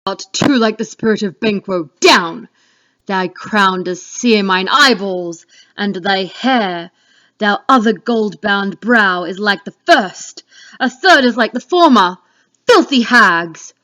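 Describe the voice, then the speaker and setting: A woman's voice: medium pitch, expressive, slow pace, fairly bright tone. A woman reading the part of Macbeth, a fearful, defiant king, in a declamatory Shakespeare dramatic reading. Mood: horrified. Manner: declamatory, exclamatory. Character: Macbeth, male Scottish thane turned king, fearful and defiant. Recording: dull lo-fi home recording.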